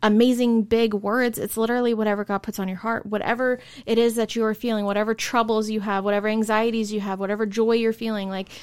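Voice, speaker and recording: A woman's voice: on the high side, level tone, quick pace, few pauses, clean tone, no room sound. Earnest woman talking about prayer. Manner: earnest, friendly, uses fillers. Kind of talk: casual faith discussion.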